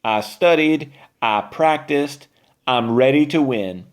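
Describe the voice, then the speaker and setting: A man's voice: mid-range voice, slow, pitched above the performer's own voice, close to an everyday voice. Guy reading as a plucky determined witch girl in a kids storybook, earnest and firm. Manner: resolute, declaring. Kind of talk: children's storybook read-aloud.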